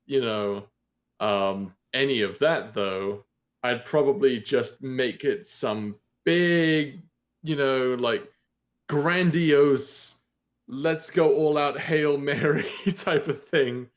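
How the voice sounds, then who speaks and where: Unhurried man thinking aloud. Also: mid-range voice. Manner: thinking aloud, posing rhetorical questions. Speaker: guest writer and content creator. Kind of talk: casual discussion.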